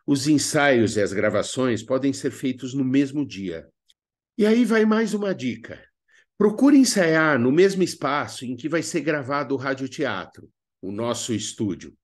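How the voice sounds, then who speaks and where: A Brazilian man narrating an instructional video as a workshop teacher, speaking expressively and didactically with emphatic pauses. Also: mid-range voice, a little mellow.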